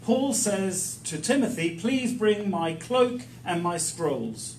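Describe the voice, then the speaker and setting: A man preaching in short, emphatic, plain-spoken phrases, pausing for an interpreter. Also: sits high, expressive, a little mellow. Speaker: guest preacher teaching the Bible. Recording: echoey room, distant mic, faint noise.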